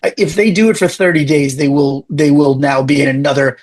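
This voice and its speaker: A man with a raspy voice talks as a podcast guest, and his pitch sits a little higher than most men's. He is animated and talks in quick bursts, often restarting his sentences. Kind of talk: casual interview chat.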